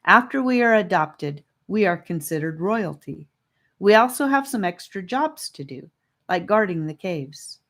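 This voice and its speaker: Woman playing boy leader of a group, measured and reflective, children's fantasy storybook. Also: on the low side, animated, fairly bright tone, exaggerated voice. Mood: thoughtful. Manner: thoughtful, measured. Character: thoughtful boy leader, calm and explaining.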